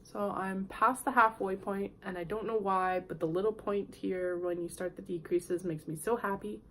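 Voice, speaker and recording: A woman hosting a knitting podcast, sick and nasal, chatting in a rambling, friendly way and clearing her throat now and then. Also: medium pitch, fairly even intonation, moderate pace, fairly bright tone, a little hiss or hum, poor audio. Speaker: podcast host. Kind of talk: casual knitting podcast chat.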